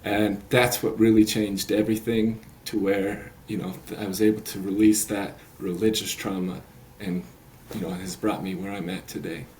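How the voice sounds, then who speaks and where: Earnest late-thirties man, low voice. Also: little pitch movement, normal speed, hardly any pauses, darkish tone, slight background noise, rough recording. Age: 30s. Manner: earnest, rambling with many fillers and restarts. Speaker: guest, resilience coach. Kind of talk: podcast interview.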